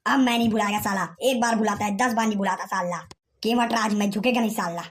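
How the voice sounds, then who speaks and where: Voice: medium pitch, fairly even intonation, fast, a little hiss or hum, lightly acted. A performer voicing brash, bickering cartoon film folk with a Chhattisgarhi accent and slangy village speech. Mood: boastful. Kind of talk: comedy cartoon dialogue in colloquial village speech.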